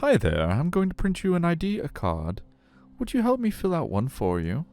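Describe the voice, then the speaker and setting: Guy doing a perky high voiced aquarium guide, friendly n eager, visual novel game, music behind. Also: huge pitch swings, normal speed, a little mellow. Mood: chipper. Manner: chipper, announcing like a tour guide. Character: friendly, eager, nervous aquarium guide, cheerful tour leader.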